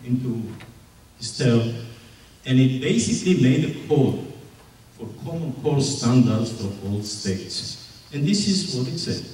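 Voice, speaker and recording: A man with an Israeli accent giving a slide lecture at a public forum, animated, with mocking rhetorical questions. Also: mid-range voice, a little hiss or hum. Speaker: presenter. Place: large echoey hall. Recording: echoey hall recorded from far off.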